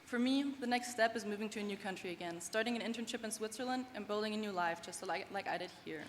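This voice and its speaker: A woman's voice: mid-range voice, fast talker. A young woman from Germany, speaking English as a second language, delivers an earnest, heartfelt graduation speech. Her voice rings with long reverb through a large hall, with faint background noise underneath. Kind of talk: prepared commencement speech.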